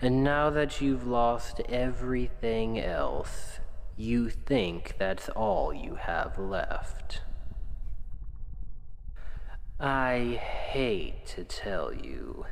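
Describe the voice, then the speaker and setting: A demon king , voiced by a man, speaks from within a long, cavernous reverb. His voice is gravelly and drags very slowly from word to word. He is a smooth-talking, self-justifying captor, condescending and coaxing, in a dramatic ASMR roleplay. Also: medium pitch, expressive, heavily acted. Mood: cold, taunting. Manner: slow, deliberate, persuasive, condescending.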